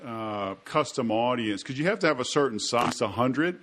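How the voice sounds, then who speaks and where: A man's voice: medium pitch, lively intonation, normal speed, fairly bright tone, a little hiss or hum. A man hosts a podcast with a drawl. He talks in a loose, chatty way, piling up asides and little jokes between his questions. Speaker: podcast host. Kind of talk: casual interview chat.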